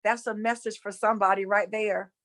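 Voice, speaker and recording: A woman's voice: mid-range voice, level tone, brisk, a bit bright. A woman from North Carolina with a Southern accent, teaching about spirituality casually and earnestly, repeating phrases for emphasis. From North Carolina, USA.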